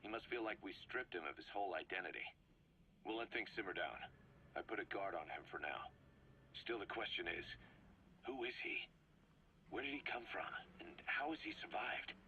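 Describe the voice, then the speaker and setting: A shrewd male military officer from a video game, with a lowish, forceful, sharp-edged voice. He reports in a measured, wry, analytical way, with faint noise on the tape. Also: lively intonation, highs cut off, full character voice. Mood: wary, analytical. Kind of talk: scripted game dialogue, briefing on a cassette tape.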